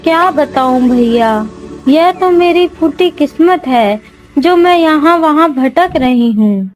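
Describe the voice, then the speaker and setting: A woman voicing a plaintive young princess wife in an animated Hindi moral story. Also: high voice, takes it slowly, faint music behind, low-quality recording.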